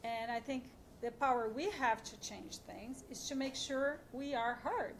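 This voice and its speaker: Female panelist, higher voice, earnest and persuasive call to action. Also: unhurried, slight background noise. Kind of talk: panel discussion.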